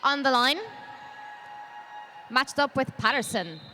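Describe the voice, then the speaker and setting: A woman's voice: high voice, lively intonation, slow pace, pushed and bright, faint music behind. A woman doing live roller derby commentary in a chatty, joking way, with a faint arena hum behind her. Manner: jokey, chatty, laughs often. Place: leisure centre arena.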